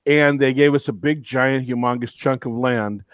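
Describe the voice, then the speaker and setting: A man's voice: mid-range voice, moderate pace, smooth. A man co-hosting a casual podcast, enthusiastic and rambling, often restarting his sentences. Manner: enthusiastic, rambling, self-interrupting. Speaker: co-host.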